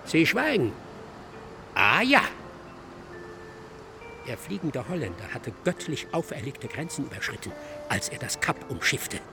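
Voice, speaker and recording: An actor playing an excitable, self-absorbed male scholar in a German radio drama, lecturing insistently and theatrically. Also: mid-range voice, a little slow, creaky, pauses often, music in the background, full character voice. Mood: feverish, fascinated. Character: excitable, self-absorbed male scholar expounding his dark ghost-ship thesis.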